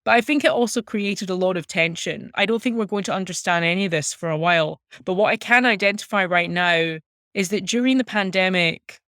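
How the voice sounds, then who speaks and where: Female interview guest, thirties, Scottish accent, earnest and articulate storyteller, crisp tone, close dry sound. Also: mid-range voice, brisk, a bit bright, no echo. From Glasgow, Scotland. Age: 30s. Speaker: interview guest, music journalist. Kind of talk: reflective personal storytelling in an interview.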